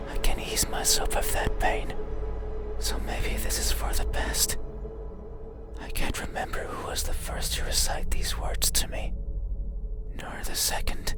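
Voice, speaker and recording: A man voicing an eerie, needy monster in a horror game, speaking in a breathy, intimate, halting whisper. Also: lightly acted. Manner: slow, halting, intimate phrasing. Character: eerie, needy bedside monster begging to be looked at. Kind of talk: ASMR-like horror game monologue. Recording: loud music under the voice. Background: music.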